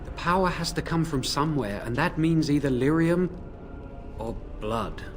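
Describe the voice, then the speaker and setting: A man's voice: on the high side, animated, moderate pace, soft background music, lightly acted. A man voicing a remorseful young mage. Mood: earnest. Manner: apologetic, earnest, hesitant. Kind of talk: video game dialogue.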